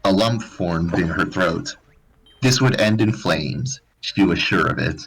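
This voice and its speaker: A man's voice: deep voice, a little slow, gravelly, a bit bright, husky, rough recording. A man narrating fan fiction as a live dramatized comedic reading.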